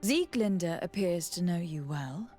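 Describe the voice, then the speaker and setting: A woman's voice: on the low side, animated, a little slow, dark tone, soft background music. An actress playing a composed, direct young noblewoman in a video game, poised and articulate. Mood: curious, fond. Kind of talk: video game dialogue acting.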